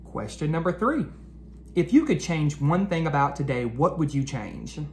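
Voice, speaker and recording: A man hosting a children's read-aloud, speaking expressively to young listeners with drawn-out storybook phrasing, as an educator. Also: on the high side, very animated, darkish tone. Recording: noticeable background noise.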